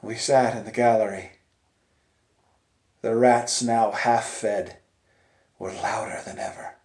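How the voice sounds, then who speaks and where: A man telling a dramatic first-person story in a theatrical manner, moving between hushed tones and urgent cries. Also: fairly low pitch, steady tone, slow. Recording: echoey, roomy sound.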